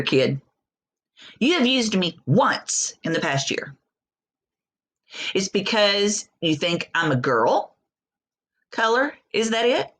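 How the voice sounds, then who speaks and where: A woman voicing a miffed, neglected crayon in a storybook, blunt, confrontational and sarcastic. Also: medium pitch.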